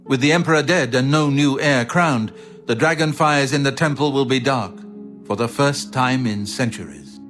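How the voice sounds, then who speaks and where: A male actor playing a grave, learned former royal bodyguard captain in a fantasy video game, speaking in a measured, solemn way. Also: mid-range voice, fairly bright tone, faint music behind, natural voice. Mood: solemn, explanatory. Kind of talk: scripted fantasy game dialogue.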